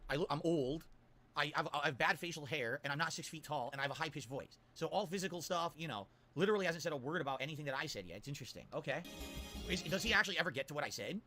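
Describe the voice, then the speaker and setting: A man's voice: on the high side, expressive, rapid-fire, sharp tone, soft background music. A man streaming, giving indignant commentary with an incredulous, profane manner. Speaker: streamer responding to a critic. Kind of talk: ranting commentary.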